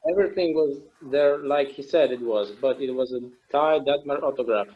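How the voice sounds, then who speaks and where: A man acting a weary, wistful game obsessive in a casual, halting conversational style at a table read. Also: medium pitch, lo-fi sound, close to an everyday voice. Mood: frustrated, resigned.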